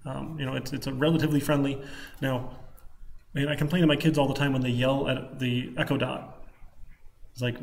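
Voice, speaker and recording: Casual Midwestern man with a gravelly voice. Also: medium pitch. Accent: Michigan Midwestern American. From Michigan, US. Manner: casual, rambling, thinking aloud. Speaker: programming lecturer. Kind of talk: informal live coding lecture. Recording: clicking keyboard, faint room noise. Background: keyboard typing.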